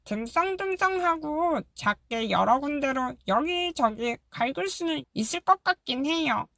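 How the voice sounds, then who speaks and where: Woman voicing a high, lively little termite. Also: unhurried, gravelly, dry recording, full character voice. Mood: earnest. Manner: polite, hesitant, bluntly practical. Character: polite wary little termite, blunt but kind. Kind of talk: audiobook character voice.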